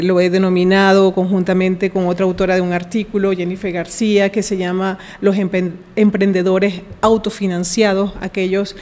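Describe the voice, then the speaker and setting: Brief: female academic, soft dark tone, conference presentation with long hall reverb. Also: mid-range voice. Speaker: researcher giving a conference lecture. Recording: reverberant hall, played-back lecture recording.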